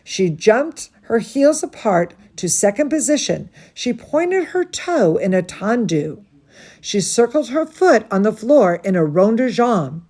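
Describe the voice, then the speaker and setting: A woman's voice: fairly high pitch, very animated, unhurried, warm tone. A woman reading a children's story aloud as a teacher would, expressively, with chatty asides and questions to her young listeners. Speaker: dance studio teacher reading a bedtime story to students.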